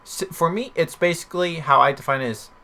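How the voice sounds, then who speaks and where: A man's voice: a little high, quick pace, pushed and bright. A man hosting a podcast in casual chat, rambling and questioning himself as he talks. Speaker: podcast host.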